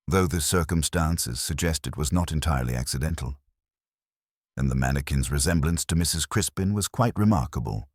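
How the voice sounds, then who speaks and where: A man voicing a gentle, shrewd police inspector who questions politely in a mystery story. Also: very deep voice, a little fast, dry recording, studio quality. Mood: dryly shrewd. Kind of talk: scripted mystery story dialogue.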